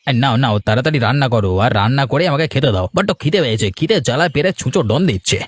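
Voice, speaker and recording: A man's voice: mid-range voice, expressive, very fast, no echo, close to an everyday voice. A man voicing a bossy, grumbling older village uncle in a cartoon. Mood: bossy, hungry. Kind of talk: Bengali cartoon voice acting.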